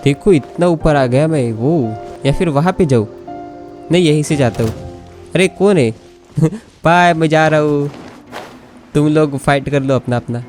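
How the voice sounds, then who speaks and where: A man's voice: fairly high pitch, very fast, pauses often, a little mellow, soft background music. A man with an Indian accent and native Hindi, giving casual, giggly gameplay commentary that mixes Hindi and English. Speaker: gaming YouTuber.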